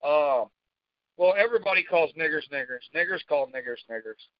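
A man with a slightly high voice chats casually as a podcast co-host. His manner is blunt and foul-mouthed. The sound is very dry and somewhat dull, a lo-fi recording. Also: expressive, normal speed, dry recording. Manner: coarse, profane, blunt. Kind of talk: casual chat.

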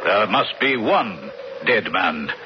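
Slow, solemn low-voiced western blood brother. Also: male voice, big pitch swings. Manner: measured, formal, unhurried phrasing. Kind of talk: radio drama acting. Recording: muffled, noisy old radio broadcast.

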